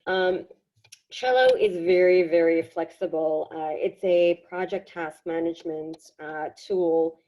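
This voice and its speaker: A woman giving a casual software tutorial, explaining in a halting, conversational way, slightly hoarse from a cold. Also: on the low side, muffled recording. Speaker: workshop presenter. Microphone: video call.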